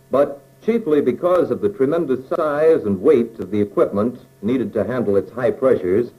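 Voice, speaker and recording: Mellow American male training-film narrator, lively intonation. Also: medium pitch, rough recording. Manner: measured, explanatory, plainly phrased. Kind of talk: scripted instructional narration.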